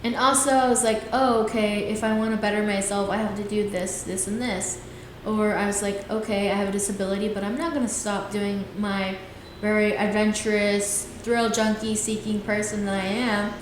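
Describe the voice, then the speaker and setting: A woman's voice: mid-range voice, steady tone, normal speed. A woman in her twenties hosting her own podcast, talking in an earnest, rambling way and often trailing off with "things like that.". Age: 20s. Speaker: podcast host. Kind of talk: casual solo podcast talk. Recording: very echoey room, faint hiss.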